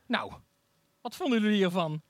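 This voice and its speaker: Man playing a high-voiced, eager alien dignitary. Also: expressive, normal speed, no room sound. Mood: polite, bumbling. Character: cheerful, proud, slightly bumbling male alien dignitary. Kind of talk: live improvised comic sci-fi radio play.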